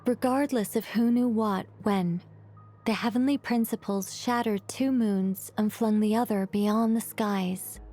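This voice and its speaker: A woman voicing a composed, wise character in a video game, recounting lore in a calm, measured way. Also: mid-range voice, soft background music, lightly acted. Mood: solemn. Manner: calm, measured, solemn storytelling. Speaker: story character explaining lore.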